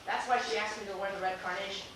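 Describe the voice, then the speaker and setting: A man playing a polite, anxious gentleman in an amateur stage comedy, hesitant and halting, with formal old-fashioned phrasing. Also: sits high, steady tone. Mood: indignant, flustered. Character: flustered, polite, anxious gentleman waiting for a masked date. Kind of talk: amateur stage acting in a comic one-act play. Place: small theater stage, recorded from the audience. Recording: echoey theater, distant camera mic, hissy noise.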